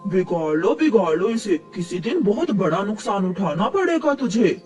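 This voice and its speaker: A woman's voice: mid-range voice, big pitch swings, moderate pace, darkish tone, recorded from a distance, faint music behind, lightly acted. A woman voicing a stern, reproachful pigeon uncle in a cartoon story, grumbling out warnings.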